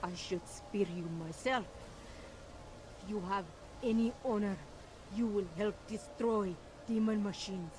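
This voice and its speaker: A proud alien warrior, acted out by a voice actor, stern and honor-bound, from a video game. The delivery is slow and measured over faint ambience. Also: mid-range voice, darkish tone, slight background noise, lightly acted, rough recording. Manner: stern, formal broken speech.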